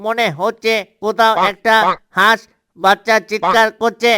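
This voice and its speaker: Cartoon duck dad, male voice actor: protective, earnest, bright forceful tone, little melody, faint music. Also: sits high, flat delivery, normal speed, clean tone, dry recording, studio quality. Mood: urgent. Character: worried, protective father duck. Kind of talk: cartoon dialogue.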